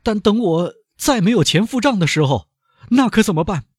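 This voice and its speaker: Man voicing a shrill, frantic captive banker. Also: huge pitch swings, unhurried, husky, dry recording, clean studio sound, exaggerated voice. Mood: desperate. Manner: shrill, pleading and desperate. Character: frantic aging male banker held captive.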